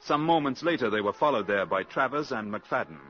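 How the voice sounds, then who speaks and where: An actor as the sober narrator of a 1950s radio spy drama, reading scripted narration. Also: male voice, mid-range voice, lively intonation, fairly quick, faint music behind, natural voice. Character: sober male storyteller of a spy tale. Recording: dull, noisy old radio recording.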